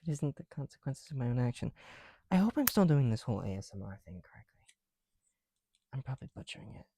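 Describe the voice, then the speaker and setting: A young man chatting casually in soft, breathy ASMR style, often dropping to a whisper, slightly breathless behind a mask, with a rambling, self-deprecating manner. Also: medium pitch, sing-song, quick pace. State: somewhat breathless through a heavy mask. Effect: speaking through a mask. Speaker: ASMR video creator. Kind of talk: ASMR, casual chat while building bricks. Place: indoors, at home.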